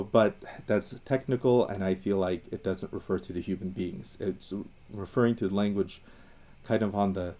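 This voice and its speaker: Low, mellow man explaining language history. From Iran. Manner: discursive, thinks aloud with fillers and restarts. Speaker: educator on Assyrian language and identity. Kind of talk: casual explanatory talk.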